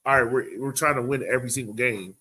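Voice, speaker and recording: A man's voice: medium pitch, quick pace, bright, forceful tone, breathy edge. A man co-hosting a sports podcast, talking casually and emphatically, pressing his point by repeating it. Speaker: podcast host.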